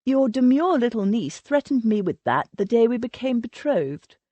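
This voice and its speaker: A woman voicing a brooding, teasing aristocratic husband with a slow, dark drawl. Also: a little high, very animated, no room sound, voice pushed up.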